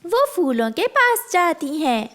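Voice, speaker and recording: A woman's voice: extremely high pitch, very animated, slow, fairly bright tone. A woman narrating a scripted children's cartoon story as its storyteller.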